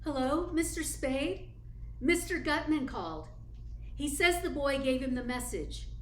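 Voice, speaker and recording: A woman's voice: sits high, expressive, a little slow. A woman playing a detective's efficient, matter-of-fact secretary in a radio play. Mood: efficient, composed. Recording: roomy echo, faint noise.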